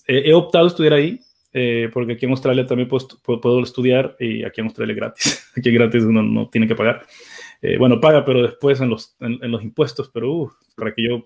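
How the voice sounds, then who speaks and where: Gravelly-voiced middle-aged man, rambling on. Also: medium pitch, darkish tone. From El Salvador. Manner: rambling, full of restarts. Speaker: guest panelist, church teacher. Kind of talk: self-introduction on an online panel.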